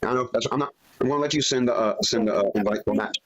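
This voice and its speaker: A man's voice: medium pitch, racing, rough recording. A man on a phone livestream asking his viewers to send gifts. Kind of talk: livestream chat.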